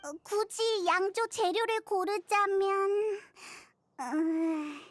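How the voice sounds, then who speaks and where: Squeaky, very high childlike fairy companion. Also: female voice, drawn-out words, heavily acted. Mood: puzzled, grumbling. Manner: expressive, grumbling, blunt. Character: tiny childlike fairy companion, chatty, blunt, suspicious. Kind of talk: anime game voice acting. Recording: music faintly behind the voice.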